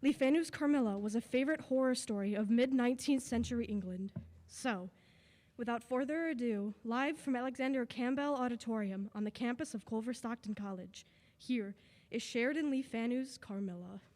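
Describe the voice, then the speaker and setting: A woman narrator reads a radio drama script. Her voice is warm and a little high, carrying the long echo of an auditorium. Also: moderate pace. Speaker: announcer and narrator. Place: live on an auditorium stage. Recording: echoey auditorium.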